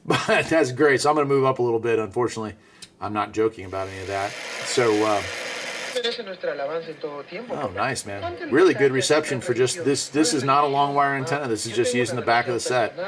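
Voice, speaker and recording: A man's voice: medium pitch, brisk. A man giving a casual, laid-back hands-on product demo, muttering informal asides as he tinkers. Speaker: radio reviewer and vlog host. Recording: faint background noise.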